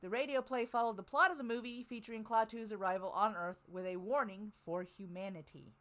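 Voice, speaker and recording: A woman's voice: mid-range voice, steady tone, brisk, a bit bright. A woman hosting a show, reading out a scripted introduction with informal asides to the people listening along. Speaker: host. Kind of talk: casual scripted introduction read aloud to co-panelists.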